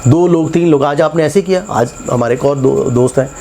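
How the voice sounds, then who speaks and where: A man explains test preparation at a quick clip, asking his own questions and answering them. His voice sits a little high but sounds mellow. A faint hum of noise runs behind him. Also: gravelly. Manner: animated, mixes English phrases into speech, rhetorical questions. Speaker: English test tutor and institute head. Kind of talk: casual classroom interview and explanation.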